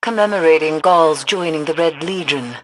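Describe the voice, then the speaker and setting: An actress playing a steadfast, watchful mystical advisor in a video game, speaking in a grave, measured, cryptic way. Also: female voice, sits low, slight background noise, close to an everyday voice, rough recording. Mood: ominous. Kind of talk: video game dramatic acting.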